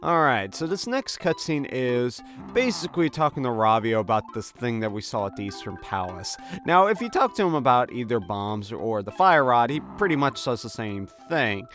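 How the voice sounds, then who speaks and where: A man's voice: mid-range voice, very animated, normal speed, a bit bright, faint music behind. A man hosting a game walkthrough with casual, conversational commentary and joking asides. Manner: jokey, conversational, slips into mock dialogue. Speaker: gaming video host.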